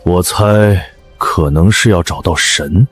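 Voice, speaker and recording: Cool, analytical young male survivor, very low voice, curt delivery for an animated drama, music behind. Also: slow pace. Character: cool, calculating young male survivor, coldly observant leader. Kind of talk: animated web drama acting.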